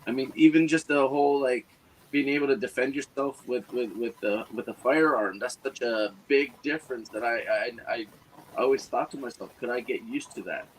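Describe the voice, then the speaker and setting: A man's voice: medium pitch, normal speed, slight background noise, low-quality recording. Congested man from Ontario. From Ontario, Canada. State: congested, losing his voice. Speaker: guest caller.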